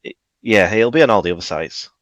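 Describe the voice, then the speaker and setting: Low-voiced man, modest producer. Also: expressive, fast talker, dry recording, muffled recording. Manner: modest, self-deprecating, rambling with fillers. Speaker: music producer guest. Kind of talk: casual radio interview chat.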